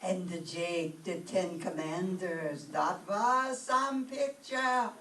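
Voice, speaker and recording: A woman's voice: on the low side, slow, a bit bright. A woman playing a fussing, nagging immigrant mama with muddled words in a staged 1930s radio comedy. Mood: nostalgic, nagging. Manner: scolding, immigrant phrasing. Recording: echoey distant stage recording, faint noise.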